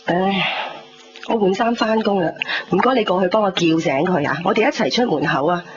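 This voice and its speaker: A woman's voice: on the low side, brisk, natural voice. An actress playing a worried, resigned middle-aged mother in a 1990s radio drama, with a Hong Kong Cantonese accent. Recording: dull 1990s radio recording, noisy.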